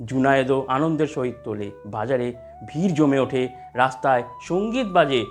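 A man narrating a scripted animated story in an expressive storytelling manner. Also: on the high side. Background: music.

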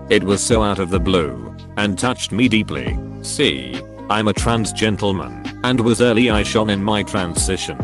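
A man with a fairly low voice reads forum posts aloud in an even, steady narration. A soft music bed plays faintly beneath him. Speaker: narrator reading online posts. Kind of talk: scripted narration. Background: soft background music.

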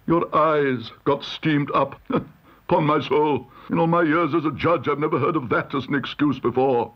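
A man's voice: fairly high pitch, a little slow, dull audio, pitched above the performer's own voice. A man voicing a pompous, indignant old judge in a children's storybook reading. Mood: incredulous, pompous.

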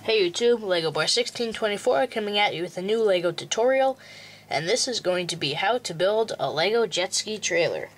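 A man's voice: high voice, lively intonation, fairly bright tone. A young male host giving a plain, step-by-step walkthrough for building a toy model. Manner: slow, plain instructional walkthrough. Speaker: tutorial host.

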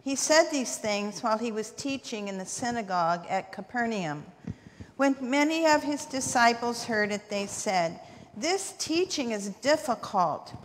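An older woman reading a Gospel passage aloud at a church lectern in a slow, measured voice. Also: fairly high pitch, lively intonation, slight background noise. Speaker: lay reader. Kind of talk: scripture reading. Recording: church reverb, faint clicks.

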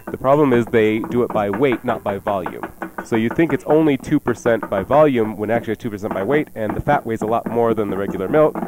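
A man's voice: on the low side, fast, background noise. A man hosting a casual radio cooking show, conversational, with wry asides. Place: radio studio. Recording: music playing behind the voice. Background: music.

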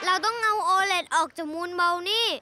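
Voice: extremely high pitch, fast. A performer voicing an eager, earnest young boy superhero in a children's cartoon dub, with music behind. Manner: eager, exclaiming.

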